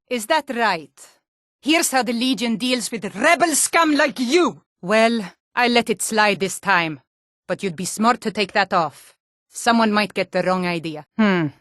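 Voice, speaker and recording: A woman's voice: on the high side, a bit bright, dry recording, clean studio sound. A woman voicing a tough, blunt female Nord warrior guard in a fantasy video game, stern, taunting and combative. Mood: accusing.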